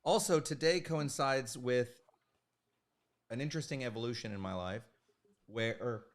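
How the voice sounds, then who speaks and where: A man hosting an online art event with a slightly hoarse voice from the flu, chatting casually and rambling, with lots of fillers and jokes at his own expense. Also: mid-range voice, a little slow. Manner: rambling, filler-heavy and self-joking. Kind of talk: casual livestream chat. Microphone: streaming mic.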